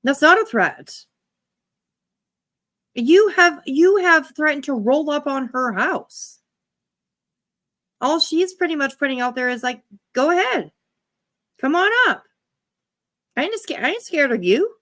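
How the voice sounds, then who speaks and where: A woman with a fairly high voice reacts in casual commentary, like a livestream host. She is blunt and swears freely as she argues her points. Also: animated, measured pace, pauses often, a bit bright.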